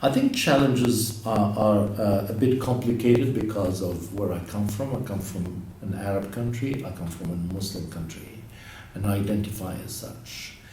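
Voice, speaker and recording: A man from Morocco speaking reflectively in a documentary interview, halting with many restarts. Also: on the low side, dark tone. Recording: very echoey, distant mic, faint noise.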